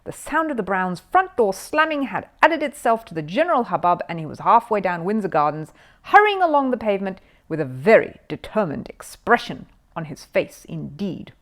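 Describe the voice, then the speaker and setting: A woman narrating a children's storybook expressively, with dramatic phrasing and suspenseful pauses. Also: medium pitch, big pitch swings, fairly bright tone. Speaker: storyteller reading a children's book aloud.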